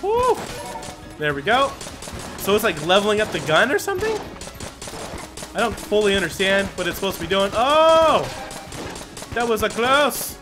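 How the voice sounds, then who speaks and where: Excitable young man with lively pitch. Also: normal speed, pauses often. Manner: excitable, swears, frequent fillers and whoops. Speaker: gaming channel host. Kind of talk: casual let's play commentary. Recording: game music and gunfire behind voice. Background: game music and gunfire.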